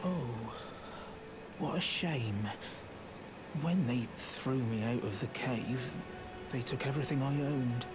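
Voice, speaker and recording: A man's voice: medium pitch, slow, long pauses, lightly acted. A man voicing a timid, pitiful small outcast creature in a video game, meek, grateful and hesitant. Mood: grateful, sad. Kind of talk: video game character acting. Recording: music behind the voice.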